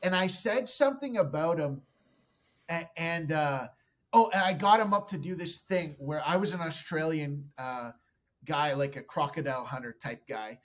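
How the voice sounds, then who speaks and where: A man's voice: fairly high pitch, normal speed, dull audio. Rambling profane man. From Canada. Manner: rambling, profane, self-interrupting storyteller. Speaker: comedian guest. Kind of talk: casual podcast storytelling.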